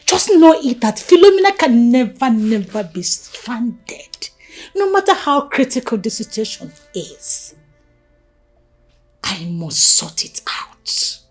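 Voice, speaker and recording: A woman's voice: fairly high pitch, sing-song, measured pace, hardly any pauses, darkish tone, faint music behind. An actress with a Nigerian accent playing a desperate scheming woman who demands money, mixing pidgin and swinging from whispers to outbursts. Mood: menacing. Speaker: actor. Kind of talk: Nollywood drama acting.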